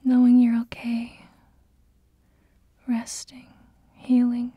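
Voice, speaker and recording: A woman playing a tender, doting mother in a soft-spoken ASMR roleplay, with a breathy, whispery, soothing voice. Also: on the high side, monotone, dry recording, highs cut off. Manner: slow, gentle, soothing. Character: tender doting mother soothing her sleepless loved one.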